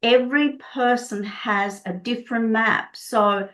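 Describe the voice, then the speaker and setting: A woman's voice: on the high side, unhurried, fairly bright tone, low-quality recording. Middle-aged Czech-born woman, conversational and earnest. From Prague, Czech Republic. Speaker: Body Code practitioner, interview guest. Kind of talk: conversational interview, explaining.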